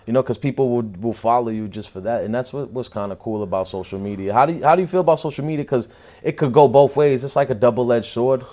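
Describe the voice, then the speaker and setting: A man's voice: medium pitch, fast, dark tone. A male host interviewing a guest in easygoing, casual chat, full of short affirmations.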